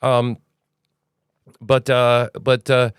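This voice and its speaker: A man's voice: medium pitch, monotone, drawn-out words, smooth, dry recording, studio quality. A man hosting a casual news commentary podcast, rambling and opinionated, with sarcastic asides. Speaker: podcast host.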